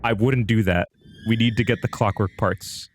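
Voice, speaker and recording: A man reading as a resolute, monotone video game thief hero in a horror story. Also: fairly low pitch, normal speed, slight background noise, close to an everyday voice. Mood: flat warning.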